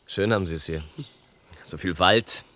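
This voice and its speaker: An easygoing, curious journalist in a radio play. He questions conversationally in a slightly low voice, with faint outdoor noise behind him. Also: normal speed, sharp tone. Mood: curious, polite. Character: inquisitive, easygoing male journalist making polite inquiries. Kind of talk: radio drama acting.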